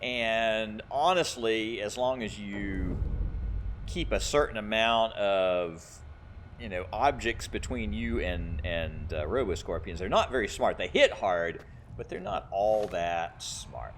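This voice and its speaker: A man's voice: fairly low pitch, lively intonation, slow pace, fairly bright tone, slight background noise. A man giving casual video game commentary, thinking aloud and explaining as he plays. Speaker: gamer playing and commentating.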